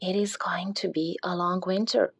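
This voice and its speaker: A woman's voice: lowish voice, fairly even intonation, normal speed, creaky, slightly rough, no echo. A woman voicing a kindly, practical male squirrel from a storybook, grave and caring. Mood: wistful. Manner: grave, matter-of-fact, caring.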